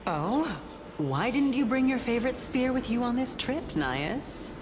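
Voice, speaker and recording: A woman's voice: fairly high pitch, big pitch swings, a little slow, background noise. An actress playing a haughty female Titan spirit in a video game, grand and taunting in the way she talks. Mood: curious. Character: haughty teasing female Titan spirit sharing a man's body. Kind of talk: video game story acting. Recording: game music behind the voice.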